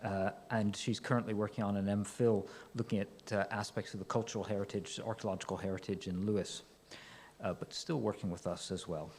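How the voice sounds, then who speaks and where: A man's voice: lowish voice, steady tone, brisk, darkish tone, slightly rough. A man introducing the next speaker at a talk, hesitant with many fillers. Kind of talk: lecture introduction. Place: lecture hall. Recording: long hall reverb, faint room noise.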